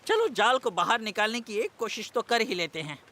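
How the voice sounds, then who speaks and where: A man's voice: sits high, sing-song, normal speed. A man voicing an easygoing, practical fisherman and boat skipper in a cartoon.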